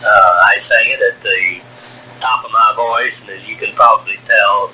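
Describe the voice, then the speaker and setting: A man in his sixties from Wyoming, telling his life story on a home cassette tape, halting, with many fillers, and a strong voice. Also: on the low side, steady tone, bright, forceful tone, a little hiss or hum, rough recording. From Wyoming, USA. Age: 60s. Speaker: narrator of own life story. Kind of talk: taped personal memoir. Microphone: cassette recorder.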